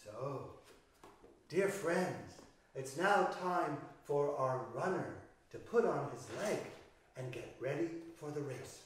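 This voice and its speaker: A man telling a children's fairy tale as a storyteller, with theatrical, deliberate pauses and emphatic phrasing. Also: on the high side, lively intonation, a little hiss or hum. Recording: echoey room, distant microphone.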